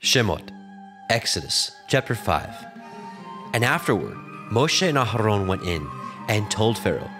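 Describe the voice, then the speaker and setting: A man narrating scripture from a script in a measured, solemn manner. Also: fairly low pitch, big pitch swings, a bit bright. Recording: music behind the voice.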